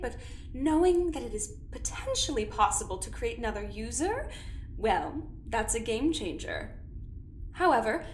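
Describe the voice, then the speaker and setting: A woman voicing a cold, scheming female doctor who talks in a measured, clinical way with a quiet menace. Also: fairly high pitch, reverberant, a little hiss or hum. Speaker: doctor running an investigation. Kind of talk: audio drama acting.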